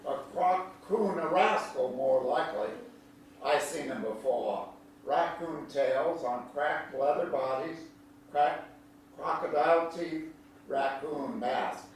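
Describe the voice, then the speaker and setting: Kindly older country man, highish voice, folksy drawl, teasing, stage drama, distant microphone. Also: slow. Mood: playful, mock alarm. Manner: drawling, homespun, playful. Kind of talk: theatrical staged reading. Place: large echoey indoor room at a staged reading. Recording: echoey hall, distant mic, faint noise.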